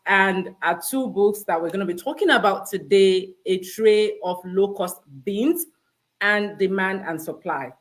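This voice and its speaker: A woman hosting a live online interview, chatty and polite, with plenty of "you know" and "um" fillers. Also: medium pitch. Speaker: host.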